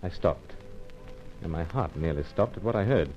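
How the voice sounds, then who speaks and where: A man's voice: low voice, lively intonation, normal speed, dark tone. An actor playing a suave British secret agent in a vintage radio thriller, clipped and understated in his delivery. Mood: dramatic narrating. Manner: clipped, understated, dropping words. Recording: muffled old radio recording, faint music.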